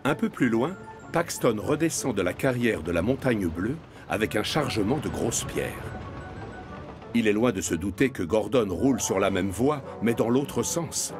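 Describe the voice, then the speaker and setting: A man narrating a children's cartoon from a script, as an expressive storyteller. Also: on the low side, moderate pace. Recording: music behind the voice.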